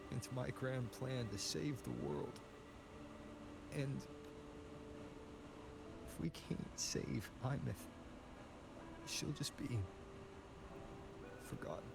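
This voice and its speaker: Loud ambient noise surrounds an earnest young male game hero weighed down by self-doubt. He speaks slowly and hesitantly, with a creaky edge to a soft-spoken voice. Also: mid-range voice. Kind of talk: video game story voice acting.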